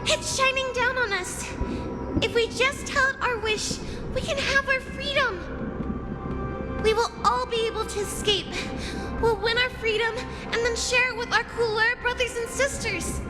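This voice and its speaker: Young girl fleeing, very high voice, urgent, pleading, video game, loud music. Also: steady tone, a little slow. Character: frightened, hopeful young girl slave fleeing. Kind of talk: video game flashback dialogue.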